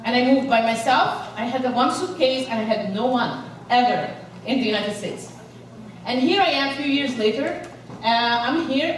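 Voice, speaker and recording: A woman from Egypt giving an energetic inspirational speech to a live audience, rallying them with questions. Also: on the high side, slight background noise. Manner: energetic, rallies the crowd with questions. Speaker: motivational speaker. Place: large event hall. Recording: echoey hall, voice from far off.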